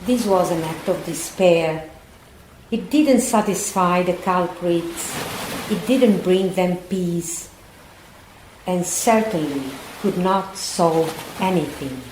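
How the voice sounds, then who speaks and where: An actress playing a sombre woman in an audio drama, delivering a grave, deliberate monologue. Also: on the low side, a little mellow. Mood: grave, sorrowful. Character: sombre woman recounting a mob killing. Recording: echoey room with faint background noise.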